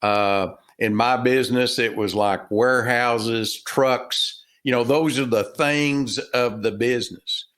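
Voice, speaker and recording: A slow, unhurried older man with a Texan drawl speaks at a middling pitch. He answers interview questions in a folksy, halting way and fills his pauses with plenty of "uh" and "um". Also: steady tone, a bit bright. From Texas. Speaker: author and former business owner.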